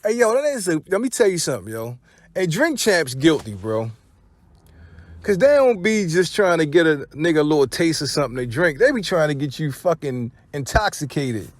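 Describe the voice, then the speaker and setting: Higher-voiced American man, slangy commentary. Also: very animated, moderate pace, dry recording. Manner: profane, slangy, rhetorical questioning. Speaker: commentary channel host. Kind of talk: casual hip-hop gossip commentary.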